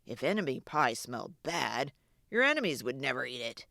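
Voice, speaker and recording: A woman voicing a calm, knowing, secretive father in a storybook, measured and confiding. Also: very deep voice, vocal fry, dry recording, clean studio sound, voice pushed down, heavily acted. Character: calm, knowing, secretive dad of a young boy.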